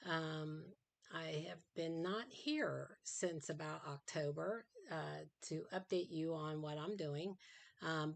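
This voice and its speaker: A middle-aged woman talks through her craft projects in a loose, rambling way, filling pauses with ums and uhs. Her voice sits a little low, and it sounds dry and slightly worn from a recent sinus infection. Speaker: craft vlogger. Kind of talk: casual show-and-tell chat. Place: home craft room.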